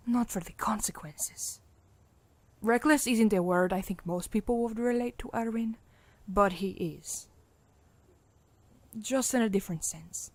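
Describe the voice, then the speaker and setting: A woman's voice: mid-range voice, darkish tone, dry recording. Firm, motherly medic played by a woman. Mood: grave, weary. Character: caring, firm female medic leader mixing in Spanish. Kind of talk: audio drama acting.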